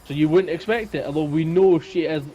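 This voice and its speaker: A man's voice: a little high, a little fast, highs cut off. A man with a Scottish accent co-hosting a podcast, telling stories in an informal, rambling way. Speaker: podcast co-host. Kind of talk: casual chat, storytelling.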